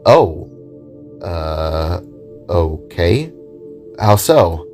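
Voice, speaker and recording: An eager, friendly robotic spark played by a man for an audio drama. He speaks in a low voice, hesitant and awkward, rambling as he tries to be sociable. Faint music plays behind him. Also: very animated, drawn-out words, a little mellow, heavily acted. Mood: awkward, nervous. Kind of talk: self-narrated audio drama.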